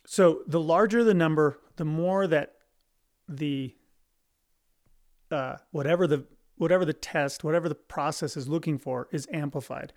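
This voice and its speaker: A man with a fairly high voice co-hosts a casual podcast discussion. He talks emphatically and keeps repeating and restarting his phrases as he works through a point. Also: moderate pace, a little mellow. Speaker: podcast co-host.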